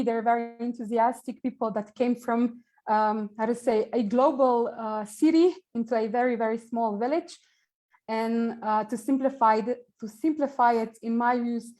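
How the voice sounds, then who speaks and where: A woman's voice: fairly high pitch, warm tone. Hesitant Slovenian woman introducing a panel. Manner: hesitant, many ums, searches for words. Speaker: event organizer introducing panelists. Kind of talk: panel introduction on a video call. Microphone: earphone headset mic on a video call.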